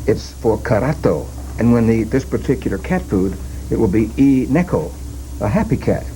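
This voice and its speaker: Male TV reporter, medium pitch. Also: lively intonation, moderate pace, mellow, a little hiss or hum, rough recording. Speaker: TV news reporter. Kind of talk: scripted local news report.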